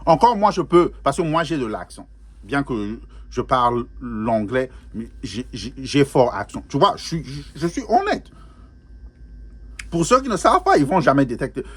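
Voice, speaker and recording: A man reacting to music in a loose, talkative way, circling back to the same phrase again and again and tossing English words into his sentences. He laughs easily as he goes. His voice sits at an ordinary middle pitch for a man. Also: sing-song, moderate pace, fairly bright tone, husky. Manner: chatty, repeats phrases, drops English words into French; laughs often while talking. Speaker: music reaction video host. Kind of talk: casual spoken reaction commentary.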